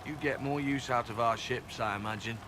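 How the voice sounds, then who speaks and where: A male elf spy from a fantasy game, played by a man, speaks in a medium-pitched voice. He is dry and guarded, with a quiet earnestness under the matter-of-fact delivery. Clear ambient noise sits behind him. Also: a little fast, lightly acted. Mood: cool, businesslike. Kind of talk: video game cutscene acting. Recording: game capture with loud ambient background noise.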